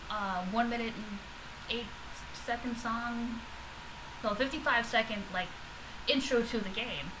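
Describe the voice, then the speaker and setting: Rambling woman vlogger, noisy recording. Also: on the high side. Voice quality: loud voice. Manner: rambling, with many fillers and restarts. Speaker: vlogger reacting to podcast episodes. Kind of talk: casual unscripted reaction vlog.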